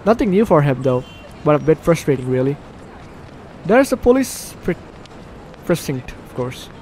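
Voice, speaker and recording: A man doing let's play commentary, reading game text aloud in an animated, slightly stumbling way. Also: on the high side, normal speed, a little hiss or hum. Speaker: video game streamer. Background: game music.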